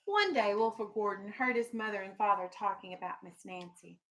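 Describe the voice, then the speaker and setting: A woman's voice: medium pitch, fairly quick, a bit bright. A woman elementary school teacher leading a classroom reading lesson and read-aloud, asking questions and instructing firmly. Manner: instructional, questioning, firm with pupils.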